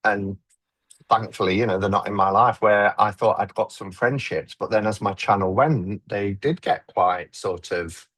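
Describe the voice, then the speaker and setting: A man's voice: on the low side, normal speed, a bit bright, slightly rough. A man who makes tarot videos, talking reflectively on a live stream and often restarting his sentences. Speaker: tarot YouTuber. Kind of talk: casual conversation on a live stream.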